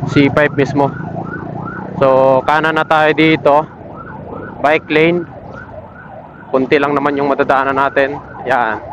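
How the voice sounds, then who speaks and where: Male moto vlogger, casual and chatty, helmet intercom sound slightly muffled over faint road noise. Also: mid-range voice. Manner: friendly, addressing viewers with shoutouts. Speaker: motorcycle vlogger. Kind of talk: casual vlog chat while riding. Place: outdoors on a motorcycle in evening city traffic. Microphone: helmet intercom linked to action camera. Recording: muffled audio with traffic and engine noise. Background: vehicle and street noise.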